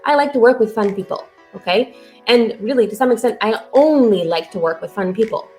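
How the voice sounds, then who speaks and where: A woman from Romania, a guest telling her story with lively energy. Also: a little high, brisk, faint music behind. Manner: lively, animated storyteller who talks with energy. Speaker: guest, author and book coach. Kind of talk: casual interview talk. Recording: echoey room.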